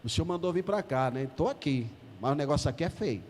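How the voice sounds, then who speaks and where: High-voiced emphatic preacher. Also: male voice, animated, fast, darkish tone, slight background noise. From Salvador, Bahia, Brazil. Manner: emphatic, calls out to the congregation. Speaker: pastor. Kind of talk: preaching a sermon. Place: church hall. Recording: church hall reverb.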